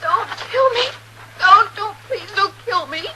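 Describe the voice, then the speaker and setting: A hissy 1950s radio western recording of a distraught, wounded frontier woman. Her high, sharp voice pleads in pain. The delivery is melodramatic. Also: lively intonation, unhurried, heavily acted, low-quality recording. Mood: distraught, pleading.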